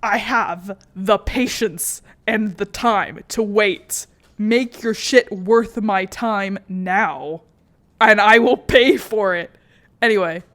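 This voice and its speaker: A young adult woman giving casual, opinionated commentary as she streams a game, blunt, exasperated and quick to swear. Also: mid-range voice, a bit bright. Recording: game music under the voice. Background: music.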